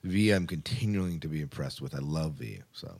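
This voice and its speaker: Man, low pitch, podcast co-host, casual chat, rambling, enthusiastic. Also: animated, moderate pace, creaky, a little mellow.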